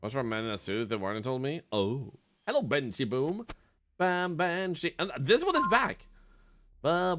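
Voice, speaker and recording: Animated male streamer over game music. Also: mid-range voice. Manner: mutters counts, bursts into loud exasperated rants. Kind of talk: casual gameplay commentary.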